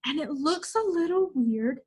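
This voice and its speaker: A bright, high-pitched young woman teaches a music lesson to kids. She talks in a cheerful, chatty way, as if speaking straight to her young students. She is from the United States. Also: expressive, a little slow. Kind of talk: online music lesson for children.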